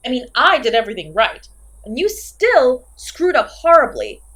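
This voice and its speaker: Female comedy-horror slasher, acted by a woman: highish, wide-swinging pitch, theatrical, exasperated, flipping from sulky to manic. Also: sing-song, normal speed, fairly bright tone, full character voice. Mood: manic, gleeful. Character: failed slasher killer, woman, melodramatic, insecure, petulant, comically chatty. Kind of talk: comedic horror audio roleplay, acted monologue to listener.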